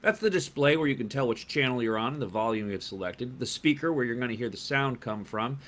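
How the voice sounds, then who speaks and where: A man's voice: medium pitch, animated, fairly quick, a bit bright. An American man giving an informal, plain-spoken instructional demonstration as a sailing instructor, emphatic and repeating his points.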